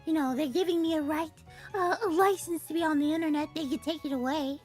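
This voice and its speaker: A young woman with a high-pitched voice talks as a stream guest. Her delivery is casual and blunt. Faint music plays behind her. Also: fairly even intonation, fast, a little mellow. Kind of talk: casual chat.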